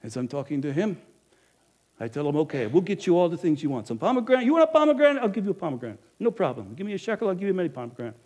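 Man acting as an innkeeper, high voice, very lively, animated, emphatic, addressing the audience. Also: very fast. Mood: haggling. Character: businesslike male village innkeeper, proud then guilty. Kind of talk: first-person dramatic monologue.

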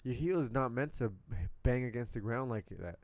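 A man's voice: mid-range voice, moderate pace, dry recording. A young man from Puerto Rico, co-hosting a podcast and telling stories casually in blunt language full of swearing. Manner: blunt, profane, animated retelling with quoted asides. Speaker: podcast co-host. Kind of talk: casual chat, storytelling.

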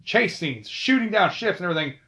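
A man casually talking through film trivia, chatty, with asides and quick self-corrections. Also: high-pitched, expressive, fairly bright tone. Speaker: video host.

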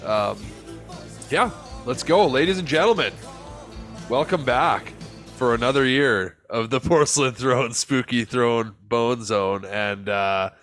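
A man's voice: medium pitch, slow, fairly bright tone, dry recording, soft background music. A man with a stuffed-up, congested voice runs a casual podcast chat. He talks in a loose, laid-back way, dropping fillers and the odd swear. The sound is dry with no room around it. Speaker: podcast host.

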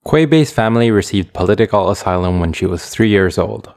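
A man narrating a documentary feature in a clear, storytelling manner. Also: lowish voice, lively intonation, brisk, darkish tone.

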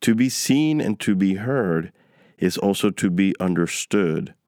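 A man from New York hosting a conversational interview in an affirming, deliberate way. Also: low voice, lively intonation, darkish tone, no echo, clean studio sound. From New York, United States. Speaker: interviewer, host.

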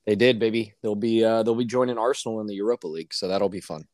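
Hoarse male co-host, exasperated sports rant, dry close sound. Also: fairly even intonation, fast talker, darkish tone, no echo. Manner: ranting, exasperated, voice climbing high when worked up. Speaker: podcast co-host. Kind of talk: casual sports chat.

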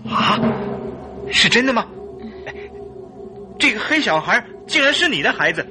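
An actor playing an emotional, tender-hearted English husband in a theatrical, exclamatory Mandarin radio drama style. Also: male voice, very high-pitched, big pitch swings, moderate pace, bright, forceful tone, soft background music, exaggerated voice. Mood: astonished.

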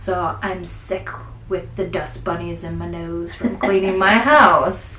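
A woman co-hosting a podcast, talking in a rambling, animated way with a stuffy nose. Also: lowish voice, takes it slowly, slight background noise. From central Wisconsin. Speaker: podcast co-host. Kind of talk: casual chat. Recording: roomy sound, mic at a distance.

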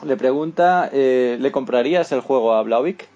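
A man's voice: mid-range voice, normal speed, darkish tone, highs cut off. A man with a Spanish accent hosting an unscripted panel interview on a podcast. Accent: Spanish (Spain). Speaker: podcast host.

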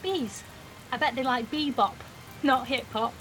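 A cheeky young woman of nineteen with a high voice, in an audio drama. She is blunt and defiant, teasing in slangy working-class speech, over faint pattering rain. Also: measured pace, fairly bright tone, a little hiss or hum. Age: young adult. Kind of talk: naturalistic audio drama acting.

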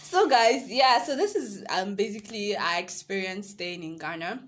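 A woman's voice: medium pitch, expressive, normal speed, a bit bright, slight background noise. A young woman with a Nigerian accent, chatting casually with friends on a vlog and laughing often. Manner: laughs often, playful teasing. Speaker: vlogger. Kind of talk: casual group chat.

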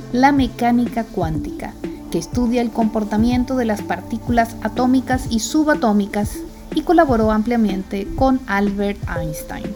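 A woman hosting a radio astronomy program, reading scripted segments in a clear, measured way and interviewing a guest. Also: fairly high pitch. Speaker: radio show host. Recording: music bed behind voice.

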